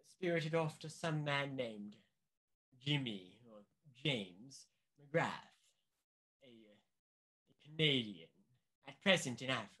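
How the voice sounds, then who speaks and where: Radio mystery: male actor as scheming, long-winded statesman, pompous, unhurried, with animated swoops in pitch. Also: poor audio. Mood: earnest, conspiratorial. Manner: pompous, deliberate, emphatic. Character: pompous, anxious upper-class male government official, scheming and long-winded. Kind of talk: radio play mystery acting.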